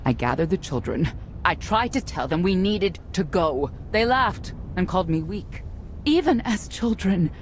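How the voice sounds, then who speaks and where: A woman playing a weary, guarded middle-aged mother turned stern knight in a video game, speaking in a measured, solemn way with a creaky voice. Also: mid-range voice, slight background noise. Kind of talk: dramatic video game dialogue.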